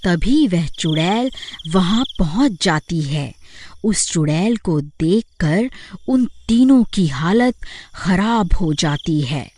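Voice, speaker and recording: A woman's voice: lowish voice, expressive, slow, a little mellow, slight background noise. Woman narrating a cartoon horror story.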